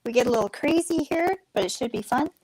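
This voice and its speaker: A woman's voice: sits high, expressive, fast talker, darkish tone, husky, no room sound, poor audio. A woman hosting a casual livestream, upbeat and welcoming as she greets the chat and the guest. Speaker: livestream host.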